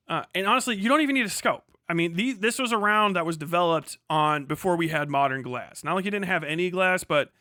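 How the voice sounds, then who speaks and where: A man's voice: a little high, sharp tone, no echo, studio quality. A man hosting a livestream, explaining things casually in a rambling, sweary way with asides to himself. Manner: rambling, self-interrupting asides. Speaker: livestream host.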